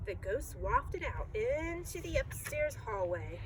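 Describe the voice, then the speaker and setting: A woman's voice: a little high, big pitch swings, slow. A woman reading a children's story aloud as narrator. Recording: clearly noisy background.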